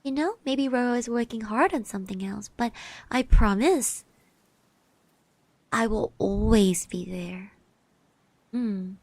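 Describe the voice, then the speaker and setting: A woman doing an ASMR roleplay as a caring girl classmate, soft-spoken and hesitant, close to the mic and often slipping into breathy whispers. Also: a little high, slow pace, pauses often, no room sound. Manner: hesitant, gently reassuring, speaks of herself in third person. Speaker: ASMR roleplay performer, streamer. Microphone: close microphone.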